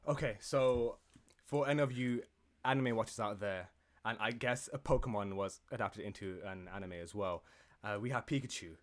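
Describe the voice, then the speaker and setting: A man's voice: fairly low pitch, moderate pace. A man co-hosting a gaming podcast, casual, jokey and sweary, improvising and bantering.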